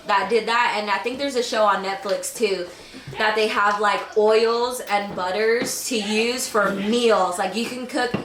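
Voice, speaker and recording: A woman's voice: mid-range voice, fairly even intonation, measured pace, fairly bright tone, distant mic, slight background noise. A woman co-hosting a podcast in casual chat, rambling with lots of fillers and trailing phrases. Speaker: podcast co-host. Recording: echoey room, off-mic.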